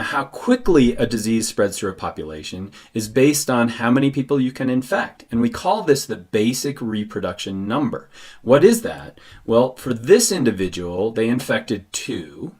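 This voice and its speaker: A man narrates an educational explainer. His voice is fairly low but very varied in pitch. He teaches step by step, asking questions and then answering them. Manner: didactic, asks and answers own questions. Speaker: science educator.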